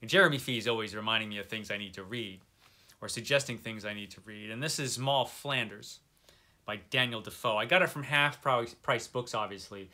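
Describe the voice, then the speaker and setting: A man's voice: fairly low pitch, a little fast, sharp tone. A middle-aged man talking casually to viewers as a book vlogger, rambling along with wry, self-deprecating asides. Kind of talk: casual chat to camera, book recommendations.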